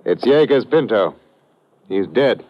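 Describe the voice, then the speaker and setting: An actor playing a polite, methodical English police inspector with a measured, understated manner in a 1950s radio drama. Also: male voice, lowish voice, expressive, muffled recording. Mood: dry, composed. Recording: noisy old radio recording.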